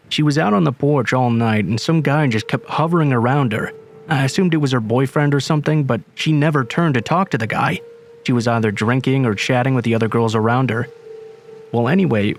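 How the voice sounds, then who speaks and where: A man reading a true horror story aloud in steady, measured scripted narration. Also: medium pitch. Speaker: narrator reading submitted stories. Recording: faint music underneath.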